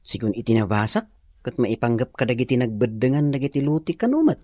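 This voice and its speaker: A man's voice: medium pitch, expressive, brisk, warm tone, no room sound, studio quality, natural voice. A man acting a plain-spoken young village nephew with an Ilocano accent in a radio drama. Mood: earnest.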